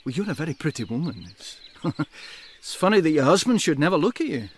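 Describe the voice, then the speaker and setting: An actor playing a wry, hard-drinking colonial gentleman in a radio drama, teasing and cynical, with a sardonic, probing way of talking. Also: a little high, very animated, fairly quick, slight background noise.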